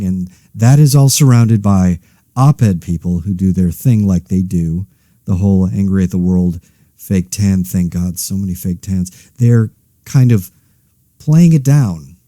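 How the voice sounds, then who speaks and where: A man giving a casual, rambling mock news commentary in a wry, deadpan, self-interrupting way, as the host of a satirical podcast. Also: lowish voice, dry recording, clean studio sound.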